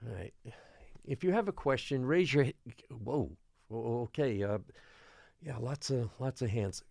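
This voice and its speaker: Harried mayor with swooping, pleading delivery. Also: male voice, mid-range voice, expressive, a little slow, vocal fry, darkish tone, no echo, studio quality. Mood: earnest, hesitant. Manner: placating, stammering, hesitant. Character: harried small-island mayor pleading for calm. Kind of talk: audio drama acting, public town meeting address.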